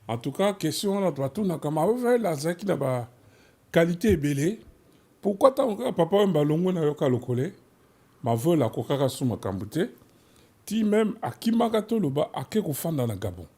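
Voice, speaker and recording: Gravelly, mellow Congolese man presenting. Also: fairly high pitch. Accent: Congolese, Kinshasa. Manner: switches between French and Lingala. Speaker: host of a music history programme. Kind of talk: conversational presenting and storytelling.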